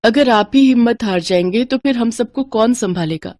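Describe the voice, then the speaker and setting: A woman's voice: a little high, moderate pace, darkish tone, dry recording, studio quality, voice pushed up, lightly acted. A woman voicing a caring, resolute poor mother in a moral story, earnest and soothing.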